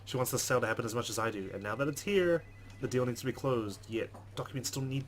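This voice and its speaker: A man's voice: mid-range voice, fast. A man reading a visual novel aloud as a let's play host, slipping in his own asides.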